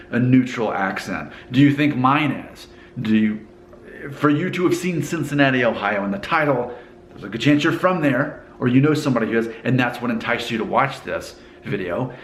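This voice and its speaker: A man's voice: medium pitch, echoey room, slight background noise. A man from Alabama with a mostly neutral American accent and a faint Southern hint, musing casually to the camera and asking the viewer questions. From Alabama, USA. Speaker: vlogger.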